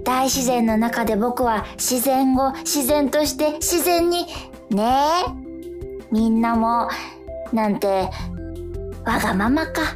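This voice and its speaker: A woman acting a dreamy, unsure young boy in a radio drama, musing and repeating phrases haltingly. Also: a little high. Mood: reflective. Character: dreamy young boy Hana, unsure and wondering about life. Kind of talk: theatrical radio drama monologue. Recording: music under the voice.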